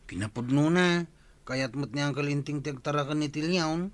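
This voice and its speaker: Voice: mid-range voice, moderate pace, natural voice. A voice actor playing a villager explaining gossip in a matter-of-fact way.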